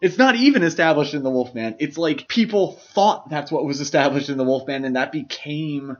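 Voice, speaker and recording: A man's voice: fairly high pitch, brisk. A man co-hosting a podcast, casually discussing film in an enthusiastic, explanatory way. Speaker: podcast co-host. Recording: slightly dull, lo-fi podcast audio.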